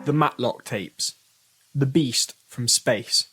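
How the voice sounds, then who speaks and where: A man presenting a mystery audio drama with scripted narration, in a measured, formal and portentous manner. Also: mid-range voice, music in the background. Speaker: host, presenter.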